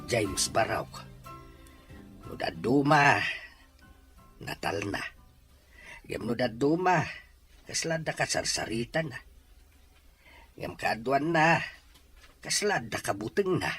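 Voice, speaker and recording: A man's voice: medium pitch, expressive, takes it slowly, pauses often, a bit bright, faint music behind. A man with a Filipino accent playing an earnest, middle-aged widowed father in an Ilocano radio-style drama. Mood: worried. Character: worried widowed father, stern and plain-spoken. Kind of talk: Ilocano audio drama acting.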